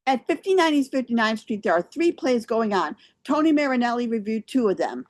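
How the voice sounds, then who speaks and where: A woman's voice: on the high side, animated, brisk, no room sound. A woman hosting a theater show, reading scripted capsule reviews aloud.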